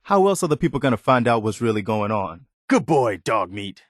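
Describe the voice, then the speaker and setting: An actor playing an earnest, steadfast militia soldier companion in a video game, sincere and plain-spoken, with an American accent. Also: male voice, medium pitch, very animated, fairly quick, no room sound, studio quality. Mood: earnest, steady.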